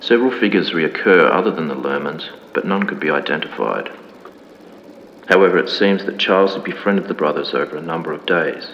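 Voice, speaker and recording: A man playing a solemn 1950s documentary narrator, reading a scripted horror mystery in a formal, measured, grave manner. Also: deep voice. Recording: dull lo-fi, long reverb, clear background noise.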